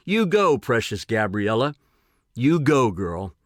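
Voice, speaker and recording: A man's voice: mid-range voice, very animated, slow, background noise. A man reading his own personal essay in an earnest, emphatic way.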